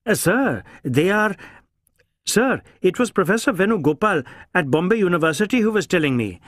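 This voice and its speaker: A man voicing a flustered, deferential Indian police inspector in an audiobook, halting and stammering, with an Indian accent. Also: high-pitched, very animated, fairly bright tone, dry recording, studio quality, pitched above the performer's own voice, full character voice. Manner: halting, stammering, repeatedly saying sir. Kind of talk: audiobook character voice.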